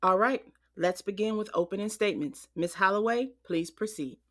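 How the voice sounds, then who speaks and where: An actress plays a female judge in a courtroom audio drama. She has a medium pitch and a formal, measured delivery, orderly and official. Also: fairly bright tone, natural voice. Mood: formal, composed.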